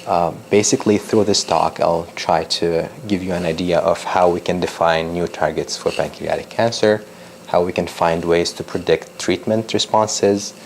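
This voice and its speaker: A man's voice: deep voice, gravelly, a little hiss or hum. A young man from Lebanon, a doctoral researcher, giving a public science talk with many ums and restarts.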